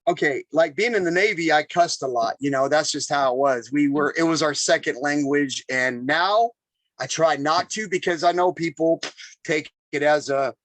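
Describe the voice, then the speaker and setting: A man, a chef, telling stories in a casual, animated interview with lots of repeated phrases. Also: fairly high pitch, normal speed, no echo. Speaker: chef and podcast guest.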